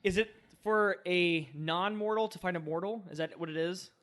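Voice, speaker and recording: High-voiced man chatting about film. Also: normal speed, fairly bright tone. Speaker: film podcast co-host. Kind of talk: casual chat about a film.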